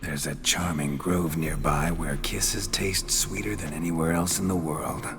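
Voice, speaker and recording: Deep-voiced fantasy monster hunter. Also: male voice, measured pace, noisy background. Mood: rakish, flirtatious. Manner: dry and deadpan, turning florid and flirtatious when possessed. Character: stoic monster hunter possessed by a rakish flirtatious ghost. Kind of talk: video game cutscene dialogue.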